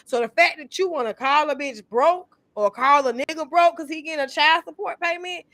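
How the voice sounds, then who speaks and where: A woman's voice: high-pitched, a little fast, a bit bright, dry recording. A woman in her thirties chatting casually on a panel, blunt and emphatic, with a lot of swearing. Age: 30s. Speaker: podcast panelist. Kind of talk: casual chat.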